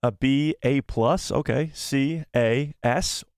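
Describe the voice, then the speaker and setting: A man's voice: medium pitch, slow, clear, resonant tone, no echo, studio quality. A man hosting a live fan stream, giving firm opinions, reading viewer replies aloud, and losing his voice. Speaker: host of a live fan show.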